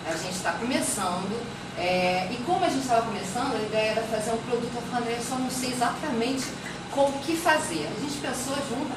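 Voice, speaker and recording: A woman with a Brazilian Portuguese accent giving an informal academic presentation as a professor, conversational and digressive, often adding tags that seek agreement. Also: on the high side. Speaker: university communication professor presenting research. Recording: very echoey, distant mic, noisy background.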